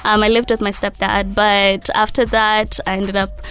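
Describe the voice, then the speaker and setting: A woman's voice: mid-range voice, little pitch movement, normal speed, a bit bright, smooth. A young woman from Kenya, a guest on a radio show, upbeat and agreeable, often saying yeah and laughing lightly. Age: young adult. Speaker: guest, pageant titleholder. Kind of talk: casual radio interview. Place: radio studio.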